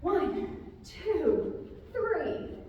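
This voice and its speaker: A woman author speaking and reading a children's story aloud to a group, with expressive, drawn-out emphasis on key words. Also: extremely high pitch, lo-fi sound. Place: large reverberant room, live community gathering. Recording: very echoey hall, distant, faint noise.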